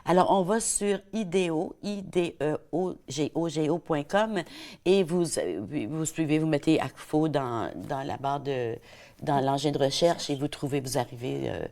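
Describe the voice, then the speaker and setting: A woman's voice: low-pitched, a little slow, darkish tone. A middle-aged woman hosting a TV interview, chatty and prompting, often rephrasing her questions. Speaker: TV host. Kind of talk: TV interview, casual conversation.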